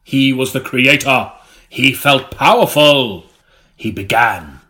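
A man's voice: mid-range voice, pushed and bright. Melodramatic male audiobook narrator. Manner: melodramatic, deliberate delivery. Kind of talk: mock-serious scripted gothic narration. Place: recording studio.

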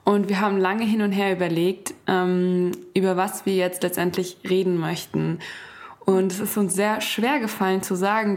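Podcast co-host: woman, conversational and thoughtful, feeling her way through sentences. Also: medium pitch.

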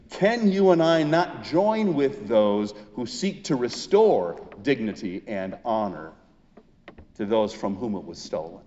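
A man delivers a sermon in the role of a pastor. He speaks very slowly at a medium pitch, stressing phrases and leaving deliberate pauses. The sound is slightly dull, with long reverberation from a large hall. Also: darkish tone. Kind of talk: Easter sermon, reading and expounding scripture.

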